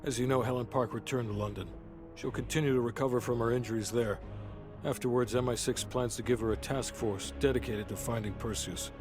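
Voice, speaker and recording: An actor playing a hard-nosed, businesslike intelligence officer giving a matter-of-fact debrief in a video game. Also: male voice, mid-range voice, fairly even intonation, brisk, close to an everyday voice. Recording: music under voice. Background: music.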